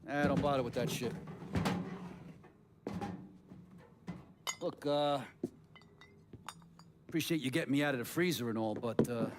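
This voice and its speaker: A man's voice: mid-range voice, creaky, heavy background noise, lightly acted. A man playing a bitter, cornered mobster with an American accent, cynical and streetwise, in a video game scene. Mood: wry, resentful. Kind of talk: video game cutscene acting.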